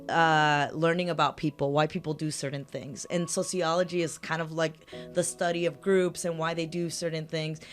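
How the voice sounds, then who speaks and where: Chatty woman attorney with a lowish voice. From Los Angeles, California. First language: Spanish. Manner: chatty, frequent "uh" and "um" fillers, self-correcting. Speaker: personal injury attorney, guest. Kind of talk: casual interview answers.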